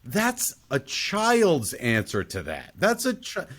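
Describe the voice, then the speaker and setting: A man's voice: fairly high pitch, wildly swooping pitch, slow pace, fairly bright tone. A man hosting a casual film discussion, giving emphatic opinions and repeating his points. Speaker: film podcast host.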